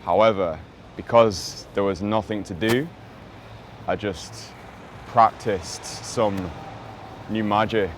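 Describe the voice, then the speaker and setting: A man's voice: fairly low pitch, slow, long pauses, a little hiss or hum. A man doing a cheeky street interview outdoors, teasing and joking with a playful manner.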